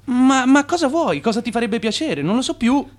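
An actor playing a brash, persuasive swindler turned financier in a radio drama, with a theatrical, grandiose manner. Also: male voice, extremely high pitch, very animated, normal speed. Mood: coaxing.

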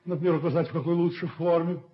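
Актёр в роли солидного пожилого дельца, глуховатая запись. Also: male voice, fairly high pitch, fairly even intonation, lightly acted. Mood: courteous, formal. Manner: formal, measured, authoritative. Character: dignified older businessman, courteous but firm father. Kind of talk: Soviet radio play acting. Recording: dull, hissy 1970s radio recording.

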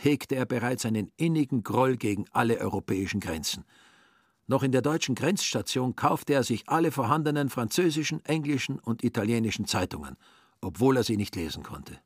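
A man's voice: mid-range voice, a little fast, breathy edge, dry recording. Man, radio drama narrator, mid-range voice, scripted literary storytelling, dry irony.

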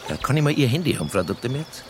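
A man acting a brusque Bavarian police detective in a radio drama. His lowish voice swings freely in pitch as he needles people in offhand colloquial speech, with insects buzzing clearly behind him. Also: very animated, very fast, noisy background, lightly acted. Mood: matter-of-fact, needling. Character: brusque, sardonic male Bavarian police detective, casually bending rules.

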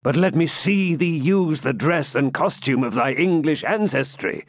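A man's voice: a little high, animated, a little slow, dry recording, studio quality, voice pushed up. Man playing a proud old Saxon lord, impassioned and declamatory. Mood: stern. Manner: declamatory, archaic, forceful.

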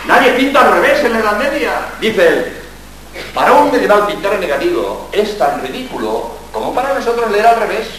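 An older man, a Jesuit priest from Spain, gives a lively public lecture full of jokes and rhetorical questions. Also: sits high, brisk, a little hiss or hum. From Spain, born in Barcelona. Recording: very echoey hall, mic far off.

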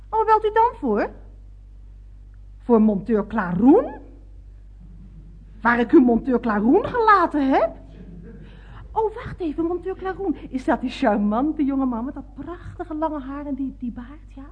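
Giggly, naive office woman for radio comedy; very lively intonation, muffled old recording. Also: high-pitched, husky, heavily acted. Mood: chatty, puzzled, then gushing, vague. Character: scatterbrained, cheerful, chatty woman at a contractor's office. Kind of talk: radio comedy serial acting. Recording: dull band-limited old radio recording.

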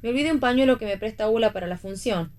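Actress as a high-voiced, spirited woman. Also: quick pace, darkish tone, natural voice. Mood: teasing, defiant. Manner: teasing, direct, emphatic. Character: Romy, spirited sharp-tongued actress, defiantly cheerful. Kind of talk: staged reading of a radio play.

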